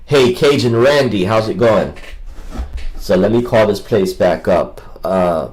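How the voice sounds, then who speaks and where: A man's voice: fairly low pitch, slow, a little mellow, rough recording. A man rambling casually as a livestream host, with a combative, mocking and coarse way of talking.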